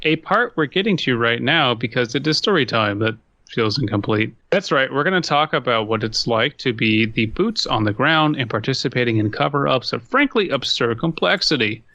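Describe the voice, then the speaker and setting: Bright, forceful man reading aloud. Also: medium pitch, expressive, normal speed, dry recording, muffled recording. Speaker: podcast host. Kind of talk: reading a comic story aloud.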